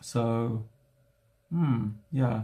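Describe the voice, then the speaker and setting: A young man whose first language is not English, chatting casually to his livestream viewers from home and thinking aloud in halting, restarting phrases. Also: medium pitch, warm tone. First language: a home language other than English. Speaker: streamer.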